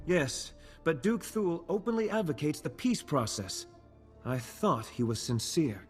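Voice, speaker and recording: Higher-voiced noble diplomat. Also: male voice, sing-song, faint music behind. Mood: grave, worried. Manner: formal, measured wording. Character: formal, worried young male noble diplomat.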